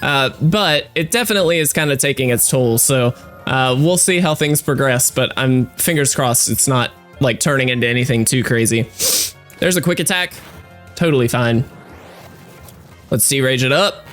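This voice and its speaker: A man's voice: mid-range voice, normal speed, fairly bright tone, soft background music. A man with a stuffy, congested voice giving chatty, upbeat let's-play commentary as a gaming creator, with lots of fillers. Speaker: gaming YouTuber.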